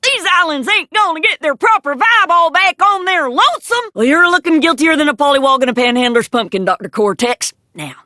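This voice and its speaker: An actress playing a folksy, plainspoken adventure guide in a cartoon game, with a drawling American Southern accent. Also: female voice, high voice, animated, brisk, few pauses, pushed and bright, dry recording, studio quality. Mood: folksy, wary. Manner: folksy, drawling, colourful country sayings. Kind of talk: cartoon video game voice acting.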